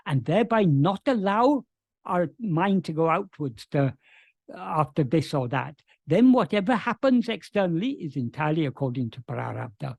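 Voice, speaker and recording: Earnest man explaining with a lively, high voice. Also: vocal fry, no echo. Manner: earnest, frequent restarts and stammered starts. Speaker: teacher answering questions. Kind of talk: spoken explanation of spiritual teachings. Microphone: video call.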